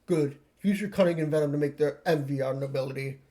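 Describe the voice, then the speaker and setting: A man's voice: a little high, fast talker, dark tone, close to an everyday voice. Haughty male troll aristocrat played by a man, pompous and curt, stilted formal delivery for a comic reading. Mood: curt, disdainful.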